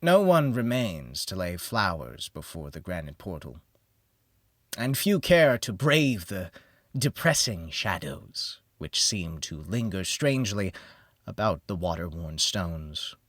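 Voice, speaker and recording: Guy doing dramatic gothic horror narration, slow and brooding, big swings in pitch, really dry recording. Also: big pitch swings, fairly bright tone, no echo. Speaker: audiobook narrator.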